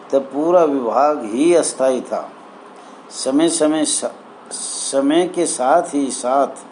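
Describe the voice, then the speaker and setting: A man with a mid-pitched voice reads official documents aloud and explains them to his listeners. His delivery is very slow and halting, broken into short phrases. A light layer of ambient noise sits behind him. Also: a little mellow, poor audio. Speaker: presenter explaining rules to fellow members. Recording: noticeable background noise.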